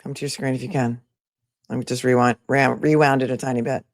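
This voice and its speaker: A woman with a deep-set voice comments on a trial as a livestream host. Her delivery is casual and skeptical, full of opinionated asides, and the sound is dry with very little room in it. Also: fairly even intonation, a little fast, no echo.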